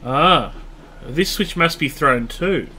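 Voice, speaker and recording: A man's voice: a little high, lively intonation, slow, pushed and bright, slight background noise. A man in his late thirties with a stuffy nose from a mild cold, thinking aloud as he talks viewers through a game. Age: late 30s. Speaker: let's play host. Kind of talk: casual gameplay commentary.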